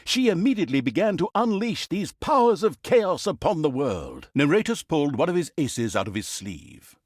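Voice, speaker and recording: An actor playing a wry, mischievous storyteller in a fantasy game, narrating in a theatrical, mock-dramatic, sardonic manner. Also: male voice, on the high side, very animated, moderate pace, no echo, studio quality. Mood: mock-grand. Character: wry, mischievous male storyteller mocking an evil fantasy saga.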